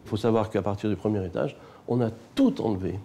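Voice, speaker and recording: A man's voice: lowish voice, quick pace, creaky, over music. An older man speaking as an architect in an interview, emphatic and repeating words for effect.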